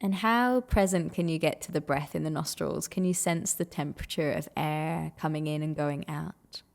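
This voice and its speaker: A woman guides a meditation in a fairly low voice with a noticeable creak at the edges. She speaks gently and soothingly. She takes her time, leaving long pauses between phrases.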